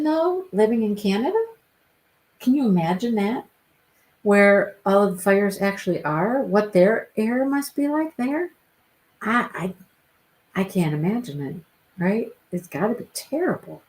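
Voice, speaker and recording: Slow, chatty woman with a stuffy nose. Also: medium pitch, expressive, darkish tone, low-quality recording. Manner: chatty, repeats her phrases, addresses viewers by name. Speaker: livestream host. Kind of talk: casual chat with live viewers.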